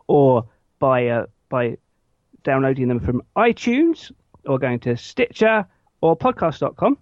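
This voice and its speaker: A man's voice: fairly high pitch, no room sound, dull audio. A man hosting a podcast in casual chat, informal and self-deprecating in manner. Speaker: podcast host.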